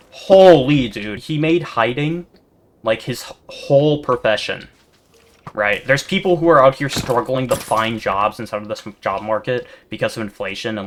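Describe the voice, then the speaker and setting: A man giving rambling, monotone commentary over gameplay, full of fillers and restarts, as a gaming video creator. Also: mid-range voice. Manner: rambling, self-described yapper with many fillers and restarts. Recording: steady background noise.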